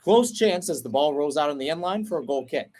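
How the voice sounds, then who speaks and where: A man doing radio play-by-play for a soccer match, talking steadily through the passing and breaking off to explain tactics, then jumping up in pitch when a chance opens. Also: expressive, darkish tone. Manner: brisk flowing call, rising sharply on chances; self-correcting, explains tactics between plays. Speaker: play-by-play commentator.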